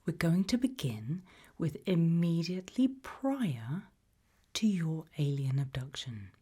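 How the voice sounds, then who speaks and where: Female, British, low-pitched and breathy. Gentle, whispered ASMR interviewer, calm and reassuring. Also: slow. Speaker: ASMR roleplay host playing an abduction investigator.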